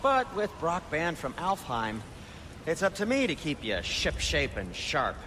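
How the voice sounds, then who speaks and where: A squeamish dwarf craftsman in a video game. His voice sits fairly high and jumps about in pitch as he rambles with fussy, hesitant politeness. Storm noise is clear behind him. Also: huge pitch swings, measured pace. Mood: fussy, nervous.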